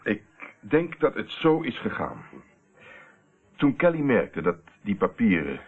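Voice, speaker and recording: An actor playing a self-assured private investigator explaining a case in a Dutch radio play, on an old narrow-band recording. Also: male voice, fairly low pitch, very animated, unhurried, husky, full character voice. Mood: pondering, explanatory.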